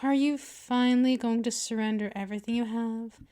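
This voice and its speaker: A woman's voice: a little high, fairly even intonation, dark tone, clean tone, close to an everyday voice. A woman playing a dominant, seductive vampire mistress in an ASMR roleplay, coaxing and condescending, speaking intimately to the listener. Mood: mocking, possessive. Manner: slow, coaxing, condescending, drifting into whispers. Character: dominant seductive female vampire mistress, patient, teasing, possessive.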